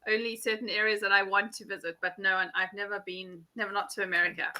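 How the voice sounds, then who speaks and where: A woman's voice: medium pitch, level tone, quick pace, bright, forceful tone. A South African woman with a South African English accent, tutoring English in a friendly, encouraging way over a video call. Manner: friendly, encouraging, lots of affirming "yeah". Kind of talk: casual conversation class.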